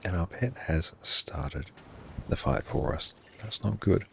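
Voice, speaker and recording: A man livestreaming his gameplay in a hushed, half-whispered voice, often thanking his viewers. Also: sits low, slow pace, creaky, slight background noise.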